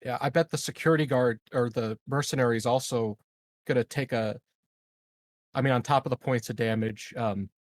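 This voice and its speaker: A man with a middle-pitched voice talks casually as a tabletop game player. He swears offhandedly, and the sound is close and dry. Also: fairly even intonation, a little fast, no room sound.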